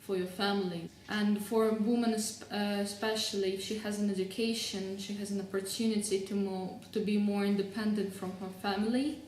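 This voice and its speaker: A woman's voice: mid-range voice, fairly even intonation, brisk, few pauses, darkish tone, slight background noise. A woman from Russia, answering interview questions hesitantly and searching for words. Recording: echoey room, mic at a distance.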